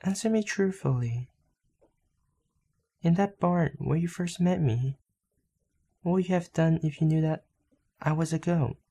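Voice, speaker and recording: A man plays a serene, selfless young female goat in an anime fan dub. The voice sits slightly high, mellow and creaky. The delivery is soft, tender and deliberate.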